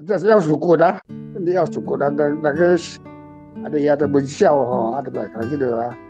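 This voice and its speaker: A man's voice: fairly high pitch, a little fast. A man with a Taiwanese accent chatting casually as a guest, often checking with the listener through little tag questions. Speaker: guest commentator. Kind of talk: casual chat. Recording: dull lo-fi sound under guitar music. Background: plucked guitar music.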